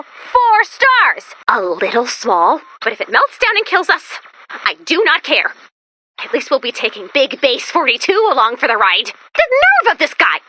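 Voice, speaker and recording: A woman voicing an excitable, anxious, sarcastic female robot helper in a video game, perky and dramatic in manner. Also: very high voice, dry recording, exaggerated voice. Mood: indignant. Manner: perky, neurotic, self-deprecating, dramatic. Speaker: video game mission-giving sidekick. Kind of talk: animated video game voice acting.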